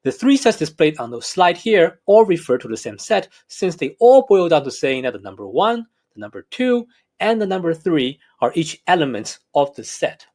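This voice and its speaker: A man's voice: a little high, very animated, moderate pace, a little mellow. A man with a slight Hong Kong accent, a math professor narrating a recorded lecture in a careful, explanatory way. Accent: Hong Kong English.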